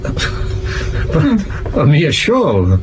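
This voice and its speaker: An actor as a wildly swooping, incredulous doctor. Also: male voice, a little high, wildly swooping pitch, very slow, dull audio, noisy background, exaggerated voice. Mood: puzzled, troubled. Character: puzzled, troubled male doctor passenger. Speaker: actor in an audio drama. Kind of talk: period mystery audio drama acting.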